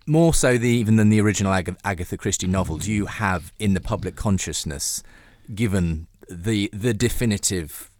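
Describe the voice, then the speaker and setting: A man's voice: on the low side, normal speed, few pauses, a little mellow, no echo. A man hosting an interview, asking questions and murmuring agreement attentively. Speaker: radio interviewer.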